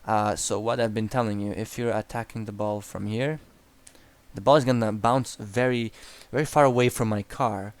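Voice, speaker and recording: Young Croatian-accented man, lowish voice, blunt and profane game coach. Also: steady tone, moderate pace, dry recording. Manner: blunt and profane, frequent restarts. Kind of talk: replay analysis commentary.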